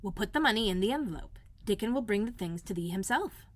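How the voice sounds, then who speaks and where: A woman's voice: mid-range voice, animated, fast, close to an everyday voice. A woman voicing a friendly, helpful young housemaid with old-fashioned rustic phrasing in a storybook.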